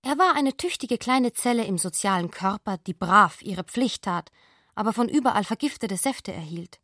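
A woman narrates a literary radio play from a script. Her voice sits at a medium pitch in a dry recording. She reads in a measured, composed manner. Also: animated, a bit bright, dry recording.

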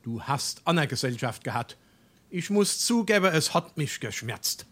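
A man's voice: a little high, big pitch swings, moderate pace, a bit bright. A man playing a stuffy, respectable burgher father in a Darmstadt dialect comedy, speaking formally and ponderously. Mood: grumbling, solemn. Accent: Darmstadt Hessian. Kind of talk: dialect stage comedy acting.

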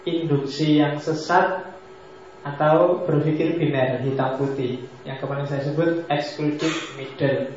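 A man, his voice pitched a little high, lectures on philosophy in a casual, joking way and drifts into asides. He is recorded in a large mosque hall that fills with long echo, and the sound is dull and lo-fi. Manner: joking, mixes Javanese asides.

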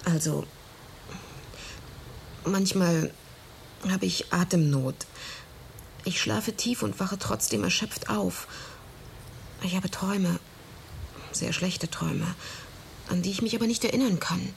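An actress playing a languid, ailing young woman in a radio horror drama, faint and halting. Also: on the low side, vocal fry, a little hiss or hum. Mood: reluctant, troubled. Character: weary, ailing young woman, evasive and dreamy.

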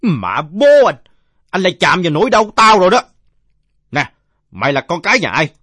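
A man's voice: sits high, sing-song, moderate pace, bright, forceful tone, breathy edge, dry recording, studio quality, voice pushed up, full character voice. A man voicing a belligerent, drunken village father in a story, blustering and coarse-mouthed.

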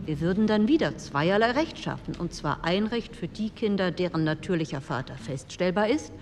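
A woman's voice: fairly low pitch, expressive, moderate pace, a little mellow, lightly acted. A woman playing an insistent, practical female politician in a radio drama, arguing her case in a parliamentary speech. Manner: insistent, argumentative. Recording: reverberant hall, faint noise.

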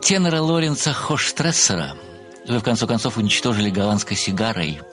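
Pedantic amateur sleuth, low, lively voice. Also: male voice, fairly quick, faint music behind. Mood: smug, methodical. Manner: formal, precise, deferential. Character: earnest, pedantic male amateur sleuth, admiring and self-satisfied. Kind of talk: theatrical audio drama dialogue.

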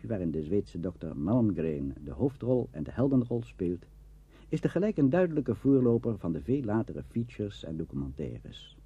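Very dark, husky male narrator. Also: mid-range voice. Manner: formal, literary. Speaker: presenter, narrator. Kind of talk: scripted documentary narration. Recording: dull vintage radio recording.

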